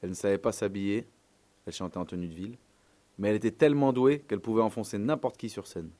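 A man acting as a male eyewitness gives testimony for a radio documentary. He speaks very quickly in a lowish voice, recounting memories plainly. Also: dark tone, lightly acted. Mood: matter-of-fact recollection. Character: male eyewitness recalling a young stage singer.

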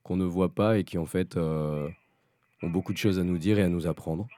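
A man's voice: sits low, steady tone, normal speed, dark tone, no echo. A man explaining his own work in an interview, hesitant and searching for his words. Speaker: fiction writer, special educator.

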